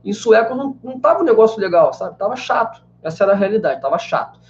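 A man's voice: sits high, animated, fast, a little hiss or hum. A man in his twenties, a language teacher from Brazil, chatting casually while he answers live-stream questions, full of fillers. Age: 20s. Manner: chatty, many fillers like "né" and "cara". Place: small room indoors with a banner behind. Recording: slightly dull lo-fi webcam sound.

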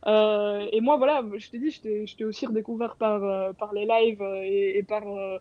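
A woman's voice: medium pitch, fairly even intonation, moderate pace. A woman in her thirties from Belgium, a racing driver chatting candidly and informally as a guest on a live video call. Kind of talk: casual live chat. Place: small room at home. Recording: muffled video-call audio.

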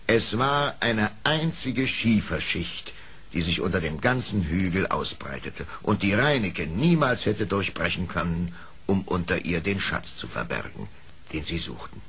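A man's voice: low-pitched, fairly bright tone, breathy edge. A man narrating an audio play as a dramatic storyteller, reading from a script. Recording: faint hiss of old record.

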